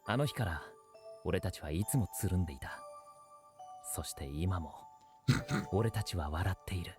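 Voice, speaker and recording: A man's voice: sits low, lively intonation, fast, a little mellow. A man voicing a reflective teenage boy narrating memories in an anime monologue. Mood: nostalgic, fond. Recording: music under anime voice-over.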